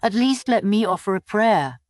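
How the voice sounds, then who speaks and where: A woman's voice: medium pitch, expressive, moderate pace, dry recording, clean studio sound, pitched above the performer's own voice, close to an everyday voice. A woman voicing a blunt young female apothecary, guarded and stubborn, speaking in a terse, matter-of-fact way for an audiobook. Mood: quietly earnest.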